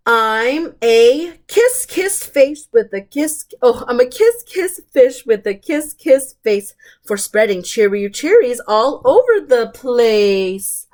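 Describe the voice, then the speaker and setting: A woman voicing a joyful, affectionate male storybook fish in an exuberant, drawn-out singsong. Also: fairly high pitch, sing-song, a little mellow.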